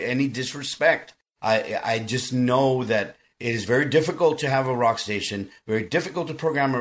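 Man, podcast host, from South Texas, casual chat, middle-pitched voice, earnest, informal. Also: a bit bright. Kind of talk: casual chat and music news.

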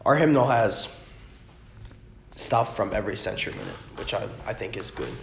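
A man with a hoarse, worn-out voice, teaching an informal church class in a soft, rambling way that corrects itself often. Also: lowish voice, lively intonation, moderate pace. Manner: rambling, self-correcting, talks softly. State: hoarse, lost his voice. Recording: muffled, echoey room with faint noise.

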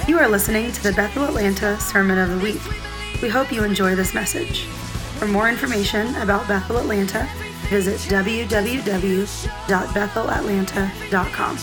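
A woman's voice: mid-range voice, moderate pace. Woman announcer over music. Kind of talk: scripted podcast intro. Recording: voice-over with clear music behind.

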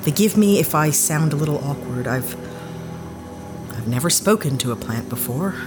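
An actress playing a sarcastic young heroine in an audio drama. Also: female voice, deep voice, expressive, normal speed. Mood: earnest. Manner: sarcastic, exasperated. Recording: studio drama with music bed.

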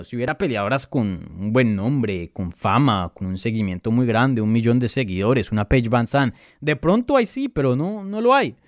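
A man's voice: mid-range voice, a little mellow, dry recording, studio quality. A Colombian man hosting his channel, analyzing mixed martial arts in casual, emphatic talk and answering viewer questions with frequent fillers and restarts. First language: Spanish. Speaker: MMA analyst and channel host.

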